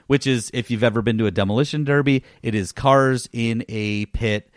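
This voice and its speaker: A man's voice: medium pitch, normal speed, no echo, clean studio sound. A man hosting a casual gaming podcast, telling stories in an enthusiastic, emphatic way. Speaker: podcast host.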